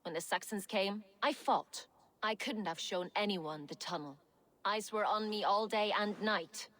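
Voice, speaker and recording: A woman's voice: mid-range voice, unhurried, fairly bright tone, lightly acted. An actress playing a wry, opportunist Viking warrior woman in a video game, speaking dryly and frankly. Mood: matter-of-fact. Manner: dry, frank, unbothered. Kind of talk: dramatic game dialogue acting.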